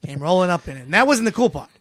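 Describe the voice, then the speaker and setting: Guy doing a really old showbiz geezer, bright high voice, rambly and halting, happily confused, comedy chat. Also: very animated, no echo, heavily acted. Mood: rambling, amiable. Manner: rambling, halting, easily sidetracked. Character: very old rambling vaudeville showman, cheerfully confused. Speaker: guest performer. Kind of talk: improvised comedy interview in character.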